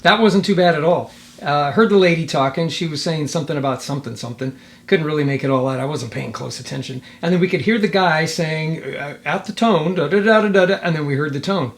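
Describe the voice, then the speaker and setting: A man giving a casual hands-on product demonstration, thinking aloud in a halting way. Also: fairly high pitch, vocal fry. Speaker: product reviewer.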